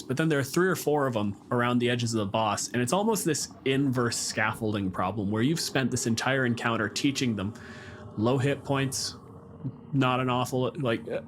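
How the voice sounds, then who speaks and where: A man's voice: medium pitch, slight background noise. A man casually discussing tabletop games, explaining in a wry, sweary way.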